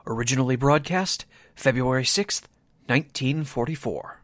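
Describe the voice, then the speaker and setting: A man's voice: mid-range voice, moderate pace, fairly bright tone, dry recording, studio quality, close to an everyday voice. A man playing an emphatic, dramatic announcer in the style of 1940s radio drama. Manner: theatrical, emphatic.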